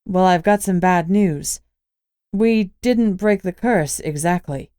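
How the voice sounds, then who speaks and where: A woman's voice: on the low side, takes it slowly, dark tone, dry recording, clean studio sound. A woman voicing a weary, matter-of-fact female spellcaster who speaks frankly and firmly.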